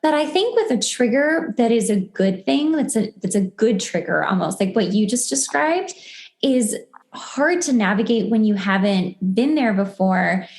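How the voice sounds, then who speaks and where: A woman's voice: fairly high pitch, lively intonation, normal speed, a little mellow. A woman talking casually and informally on a podcast as host, peppering her talk with "like" and acted-out asides. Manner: informal, heavy filler "like", self-quoting asides. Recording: roomy, echoey sound.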